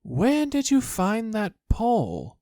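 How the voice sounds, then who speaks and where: A man's voice: high voice, wildly swooping pitch, takes it slowly, mellow, dry recording, studio quality, voice pushed up, full character voice. A man voicing an earnest, solemn young boy who speaks kindly to his animal friends.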